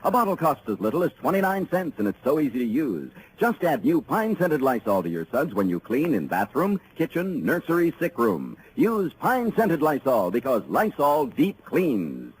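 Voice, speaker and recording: A man's voice: a little high, wildly swooping pitch, moderate pace, hardly any pauses. A man announcing a scripted 1950s radio commercial. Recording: slightly noisy old radio recording.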